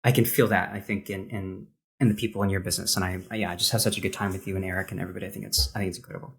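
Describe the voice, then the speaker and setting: A man hosting a casual podcast, speaking reflectively and haltingly as he searches for words with many false starts. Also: deep voice, fairly even intonation, vocal fry, fairly bright tone. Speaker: podcast host.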